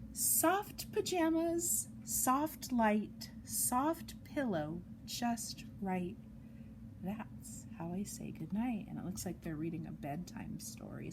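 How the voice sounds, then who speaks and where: A woman reads a picture book aloud to young children in an expressive, sing-song way, adding chatty asides, on an amateur home recording. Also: on the high side, noisy background. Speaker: storytime reader for young children.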